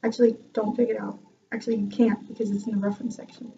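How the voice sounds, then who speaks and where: A woman's voice: mid-range voice, little pitch movement, quick pace, vocal fry, dark tone, recorded from a distance. A young woman telling a self-mocking story from her music class in a chatty vlog, laughing often. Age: young adult. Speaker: vlogger and music student. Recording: dull lo-fi sound from off-mic.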